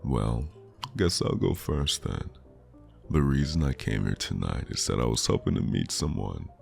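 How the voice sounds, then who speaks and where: A man playing a flirty, charming stranger in an intimate, teasing ASMR roleplay, with a deep, gravelly voice and a lingering delivery. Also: sing-song, moderate pace, soft background music, heavily acted. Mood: playful, coaxing. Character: flirty charming male stranger at New Year's party.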